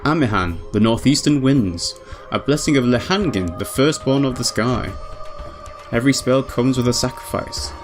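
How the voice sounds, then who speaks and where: A man's voice: medium pitch, expressive, moderate pace, lightly acted. A man voicing a proud young witch who narrates her tale in a grave, formal, ornate way. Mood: solemn, intense. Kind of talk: dramatic visual novel narration. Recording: music underneath.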